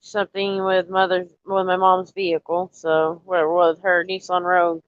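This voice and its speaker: A woman with a lowish voice rambles easily through a casual live stream, greeting viewers as she goes. She sounds a bit stuffy and nasal from allergies. The audio is dull and dry, like a lo-fi home stream. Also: moderate pace, no room sound. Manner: rambling, relaxed, talks straight to chat. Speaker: livestreamer chatting with viewers.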